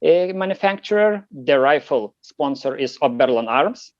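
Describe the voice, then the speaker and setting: A Lithuanian man speaking English with a Lithuanian accent as an interview guest, answering in a halting way with many fillers and restarts. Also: fairly high pitch, lively intonation, no room sound, lo-fi sound. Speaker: competitive shooter guest.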